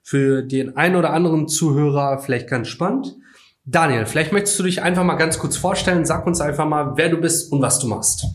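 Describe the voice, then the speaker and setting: A man's voice: on the high side, brisk. A man hosting a podcast, introducing a guest and asking questions with short upbeat affirmations. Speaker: podcast host. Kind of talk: podcast interview.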